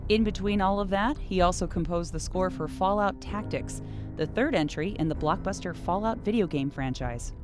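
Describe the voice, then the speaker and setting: A woman host reading a scripted introduction in a smooth, measured way. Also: on the low side, music in the background. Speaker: interviewer and narrator. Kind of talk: scripted narration.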